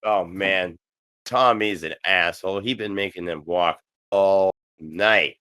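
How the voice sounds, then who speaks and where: A man's voice: low-pitched, takes it slowly, sharp tone, clean tone, no echo, clean studio sound. A man joking around irreverently in a casual film commentary. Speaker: commentary track participant.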